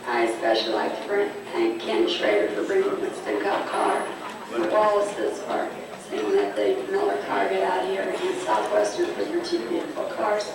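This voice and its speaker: Hoarse woman, club awards presenter, informal, heard distant and echoey in a banquet hall with faint room noise. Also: mid-range voice, very animated. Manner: informal, chatty, a little halting. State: losing her voice, hoarse. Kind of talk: speaking to a banquet crowd over a PA. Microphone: PA microphone recorded from a distance.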